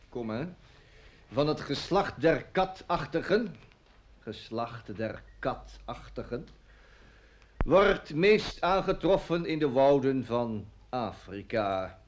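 A man's voice: mid-range voice, lively intonation, slow pace, long pauses. An actor playing an emphatic man in a radio play who dictates, repeating phrases and speaking out the punctuation. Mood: solemn, emphatic. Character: slow, emphatic man dictating about the lion. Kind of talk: radio drama acting.

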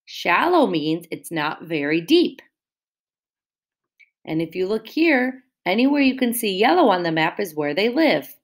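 A woman's voice: mid-range voice, big pitch swings, unhurried. A woman teacher reading a picture book aloud to young children, explaining words and asking them questions in a sing-song way.

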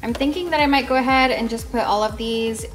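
A young woman casually narrating her meal prep in a home kitchen, talking in a relaxed way with lots of ums and restarts. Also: on the high side, fairly quick. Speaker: cooking vlogger.

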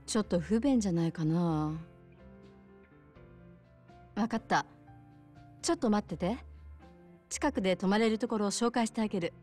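An actress playing a kind, soft-spoken bar owner with a polite, considerate manner in a video game cutscene. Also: female voice, mid-range voice, normal speed, pauses often, faint music behind, close to an everyday voice. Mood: gentle, apologetic. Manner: polite, considerate, gently casual.

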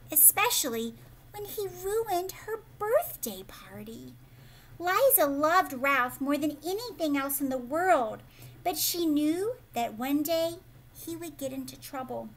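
An American woman reads a children's story in a high voice. She takes her time and is expressive, often turning to the listener with questions. It is recorded at home with faint background noise. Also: poor audio. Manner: animated, prompting listeners with questions. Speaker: teacher reading a picture book aloud to children. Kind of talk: expressive children's read-aloud with asides to the listener. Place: living room at home, indoors.

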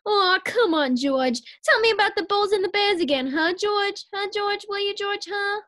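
Woman playing very high-pitched scatterbrained radio housewife. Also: lively intonation, normal speed, a bit bright. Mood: wheedling. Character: scatterbrained, scheming, cheerful young housewife Liz. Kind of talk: 1940s radio sitcom acting.

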